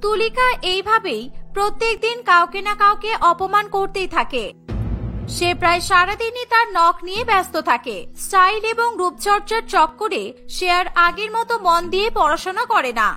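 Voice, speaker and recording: A woman's voice: extremely high pitch, sharp tone. A woman narrating a scripted cartoon story.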